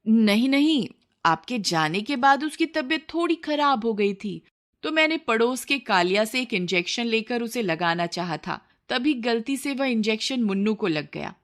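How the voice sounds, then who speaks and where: A woman's voice: on the high side, lively intonation, normal speed, pitched above the performer's own voice, natural voice. A woman voicing a greedy, sly village wife and mother in a cartoon story, with exclamatory, pleading speech. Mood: anxious, remorseful.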